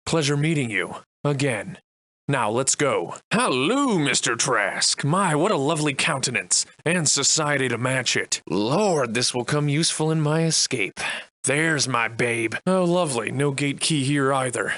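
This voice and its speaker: A man playing a sardonic, defiant old-fashioned gentleman adventurer in a video game, speaking in florid, archaic, theatrical phrases. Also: mid-range voice, animated, unhurried, dry recording. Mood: wry, eager. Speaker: voice actor.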